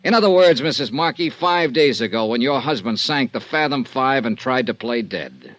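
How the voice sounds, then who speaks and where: A man's voice: medium pitch, animated, moderate pace, fairly bright tone, lightly acted. An actor playing a hard-boiled male insurance investigator in a 1950s radio drama, talking in a clipped, sardonic way. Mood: accusing.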